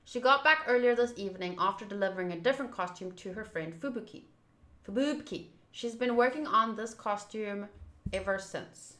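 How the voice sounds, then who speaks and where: A woman's voice: medium pitch, lively intonation, moderate pace, pushed and bright, roomy sound. A woman streaming a visual novel, reading the text aloud and breaking into chatty reactions as she goes. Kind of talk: playthrough commentary, reading on-screen text aloud.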